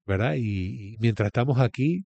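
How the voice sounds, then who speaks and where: A man's voice: medium pitch, normal speed, creaky, dark tone, breathy edge, no room sound, muffled recording. A man from Venezuela, a guest pastor giving his testimony in an interview in an earnest, preacherly storytelling way.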